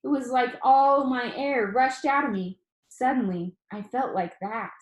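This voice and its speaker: A woman reading a novel aloud as expressive storybook narration, telling it in the first person. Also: a little high, unhurried. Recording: roomy, echoing room sound.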